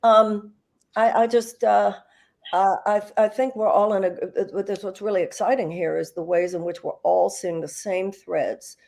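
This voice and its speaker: A woman's voice: medium pitch, fairly even intonation, moderate pace, gravelly, a little mellow. An older woman from the United States, speaking earnestly and reflectively as a guest on an online panel, with many fillers and restarts. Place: video call.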